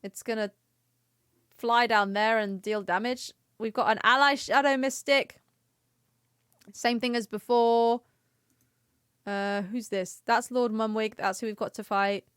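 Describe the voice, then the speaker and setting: A woman's voice: fairly high pitch, steady tone, a little slow, pauses often, a bit bright, clean tone, no room sound, clean studio sound. A woman giving casual, chatty livestream commentary on a video game, playful and given to sing-song exclamations. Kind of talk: casual gaming stream commentary. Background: faint music.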